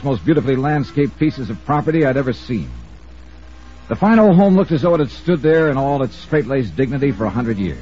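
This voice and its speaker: An actor playing a brisk, wry insurance investigator in a 1950s radio detective drama, narrating crisply in the first person. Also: male voice, medium pitch, a little mellow, close to an everyday voice. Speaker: narrator and lead of a detective serial. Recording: muffled old radio recording with music.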